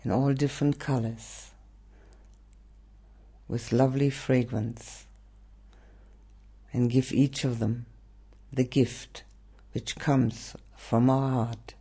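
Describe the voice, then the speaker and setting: A male meditation teacher guides listeners gently, leaving long pauses between phrases. His voice is mellow and husky, with a gravelly creak, and it soothes throughout. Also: medium pitch, flat delivery.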